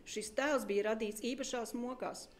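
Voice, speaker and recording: A woman interpreting a sermon live, phrase by phrase, with halting starts and self-corrections. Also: a little high, steady tone, a little hiss or hum. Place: church hall.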